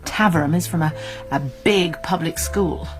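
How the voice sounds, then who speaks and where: A woman's voice: sits low, normal speed, soft background music, natural voice. Earnest, principled British woman sleuth, played by an actress. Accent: British English. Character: college nurse, sensible, principled, caring woman amateur sleuth. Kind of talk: British radio drama acting.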